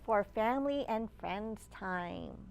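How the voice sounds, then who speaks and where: A woman children's librarian reading aloud to young children in an encouraging storytime manner, pausing to ask simple questions. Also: medium pitch, expressive, a little mellow. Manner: slow, encouraging, asks listeners simple questions.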